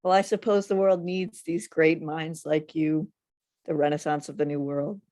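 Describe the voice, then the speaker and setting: A woman acts out a sardonic teenage friend in a film drama read-through. The voice sits fairly low and sounds close and dry, with a teasing edge. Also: little pitch movement, moderate pace, warm tone, no echo, natural voice. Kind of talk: table read of a film script.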